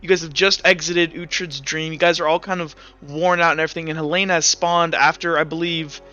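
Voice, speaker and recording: A man's voice: a little high, steady tone, brisk, pushed and bright. A young man as game master, narrating the scene in second person with hesitant fillers and restarts. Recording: slightly muffled, with faint music.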